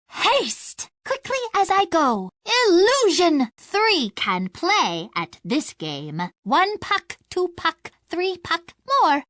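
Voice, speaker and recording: A woman's voice: high-pitched, a bit bright, no echo, studio quality, heavily acted. A woman voicing a video game's childlike faerie dragon, mischievous and haughty, with light, girlish tones and formal, archaic phrasing. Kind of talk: video game character voice lines.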